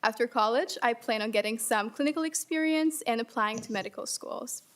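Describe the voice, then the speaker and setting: A young woman from Albania, a college senior and student leader, reading a brisk formal statement into a desk microphone at a hearing. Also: a little high. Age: young adult. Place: legislative hearing room.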